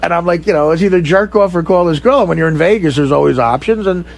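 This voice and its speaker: A man telling bawdy stories as a radio guest in a casual, halting, profane and joking way. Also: a little high, vocal fry.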